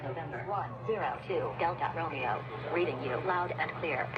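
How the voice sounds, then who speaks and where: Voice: medium pitch, moderate pace, lightly acted. A voice playing a formal simulated air traffic controller in a flight game, giving clipped, procedural radio instructions. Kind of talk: clipped aviation radio phraseology. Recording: dull lo-fi playback, clear background noise.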